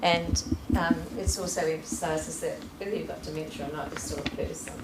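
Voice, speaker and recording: Low-voiced woman in a noisy, echoey room. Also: steady tone, a little fast. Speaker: event host. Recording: echoey room with loud noise.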